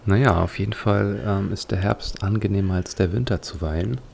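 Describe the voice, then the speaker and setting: A man giving casual, musing, unhurried commentary as he plays a video game. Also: on the low side, vocal fry, a little mellow, slight background noise. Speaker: let's player.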